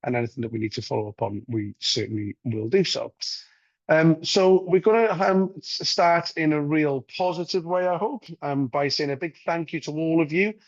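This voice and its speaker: Croaky guy running a webinar on a video call, lots of ums, dull dry sound. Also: on the high side, normal speed, no room sound. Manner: many ums and uhs, steady explanatory delivery. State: croaky from a recent cold. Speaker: playing data manager presenting a webinar. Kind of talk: webinar presentation. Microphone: video call microphone.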